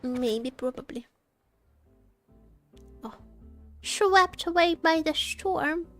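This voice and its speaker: A woman's voice: very high-pitched, expressive, very slow. Playful woman livestreaming over game music. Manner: playful, teasing, rambling, repeats phrases. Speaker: VTuber streamer. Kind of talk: casual livestream game chat and reactions. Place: indoor home streaming setup.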